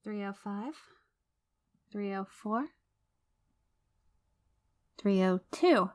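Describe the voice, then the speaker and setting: A woman's voice: mid-range voice, drawn-out words, no echo. An obsessive, unraveling girlfriend acted by a woman in an ASMR-style audio drama. Her mid-range voice sits in a very dry recording. She delivers pet names and threats with the same theatrical sweetness, possessive and menacing. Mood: cold ultimatum.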